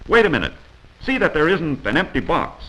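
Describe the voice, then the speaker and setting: A man's voice: fairly high pitch, wildly swooping pitch, a little fast, bright, forceful tone, rough recording. An American man narrating a 1940s instructional film in a commanding, corrective manner, on a vintage film soundtrack.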